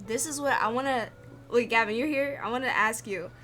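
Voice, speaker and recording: A woman streamer giving informal, halting live commentary as she plays a game. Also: a little high, pushed and bright, a little hiss or hum. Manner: halting thinking aloud, informal. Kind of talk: casual live gameplay commentary.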